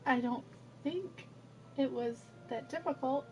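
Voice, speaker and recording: Casual teasing woman, clearly noisy recording. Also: sits high, slow pace. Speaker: companion chatting on a game stream. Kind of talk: casual chat.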